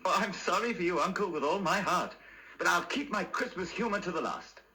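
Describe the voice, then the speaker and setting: An actor playing a cheerful, warm-hearted nephew, hearty and earnest, in a 1960s radio drama. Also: male voice, high voice, expressive, a little fast, background noise. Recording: vintage 1960s radio broadcast.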